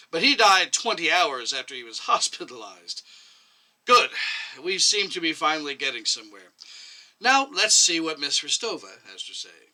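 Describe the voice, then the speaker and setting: A man's voice: fairly high pitch, sharp tone, pitched above the performer's own voice, exaggerated voice, low-quality recording. A man voicing a stern, sarcastic commission chairman who questions pointedly and overbearingly in a short story reading. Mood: brisk, dismissive.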